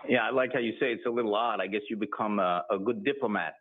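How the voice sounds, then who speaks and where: A middle-aged man with an American accent, making a deliberate case as a guest in a televised interview, using rhetorical questions. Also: fairly low pitch, slightly rough. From United States. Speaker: political guest. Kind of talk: measured political argument in interview. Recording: tinny broadcast audio re-recorded.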